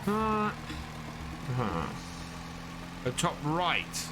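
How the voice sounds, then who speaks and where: A man's voice: a little high, wildly swooping pitch, extremely slow, background noise. A man casually chatting with his live chat while playing a farming game, thanking followers and answering questions as he plays. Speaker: streamer. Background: game music.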